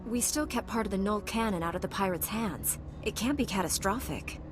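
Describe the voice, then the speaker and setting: An actress playing a confident, calm Jedi knight in a video game, with a dry, assured delivery. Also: female voice, medium pitch, a little fast, big-room sound, over music, natural voice. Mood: composed, assured. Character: composed, confident heroic female Jedi knight.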